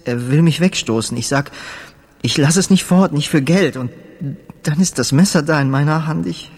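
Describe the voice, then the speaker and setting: Radio play casting: devout, unhinged, remorseful musician, breathy husky tone, halting delivery, reverberant space. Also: male voice, a little high, a little mellow. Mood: confessing, dazed. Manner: halting, repetitive, murmuring prayer-like delivery. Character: guilt-ridden, devout, unhinged male musician confessing murder. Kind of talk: German radio drama acting. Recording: roomy space with long reverb.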